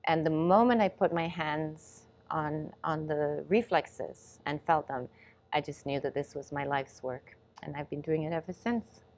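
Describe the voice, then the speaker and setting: Low-voiced woman raised in England. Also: unhurried, darkish tone. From Ghana, raised in England. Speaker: guest, movement and reflex specialist. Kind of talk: interview answers.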